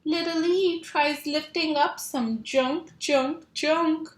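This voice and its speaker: Slow-paced woman reading to young children, roomy echo. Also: sits high, steady tone. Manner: sing-song, stretches and repeats sound words, asks children simple questions. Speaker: children's teacher reading a picture book aloud. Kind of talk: animated picture-book read-aloud for young children. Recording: roomy echo, clear background noise.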